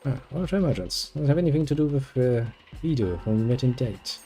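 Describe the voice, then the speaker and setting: Brief: man with a mellow, warm tone, reading game lines with deadpan sarcasm, faint game music behind. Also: mid-range voice, a little fast. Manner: dry sarcastic asides. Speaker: gameplay video host. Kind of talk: reading game dialogue aloud with joking commentary.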